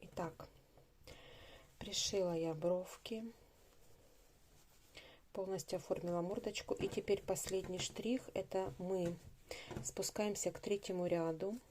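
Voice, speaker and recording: A woman narrating a craft tutorial step by step, in an unhurried, instructive way, repeating phrases as she goes. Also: on the low side, steady tone, warm tone, slight background noise.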